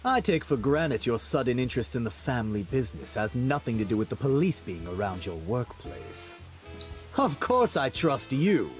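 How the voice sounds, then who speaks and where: An actor playing a stern, protective middle-aged father from a crime family, measured and authoritative, in a visual novel game. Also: mid-range voice, very animated, soft background music, natural voice. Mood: guarded, commanding.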